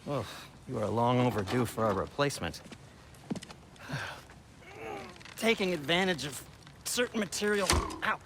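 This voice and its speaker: An actor playing a squeamish, sardonic dwarf craftsman in a video game, with a fastidious manner and hesitant asides. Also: mid-range voice, expressive, noisy background. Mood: fussy, absorbed. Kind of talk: dramatic video game acting.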